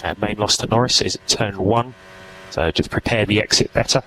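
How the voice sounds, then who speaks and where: A man's voice: fairly low pitch, a little fast, sharp tone. A man working as a race engineer, giving clipped, procedural instructions over team radio. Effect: team radio transmission. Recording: noisy team radio feed.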